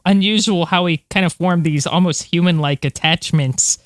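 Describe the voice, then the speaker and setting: A woman's voice: fairly low pitch, moderate pace, no room sound. A woman co-hosting a podcast, talking through science news in a conversational way. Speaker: podcast co-host.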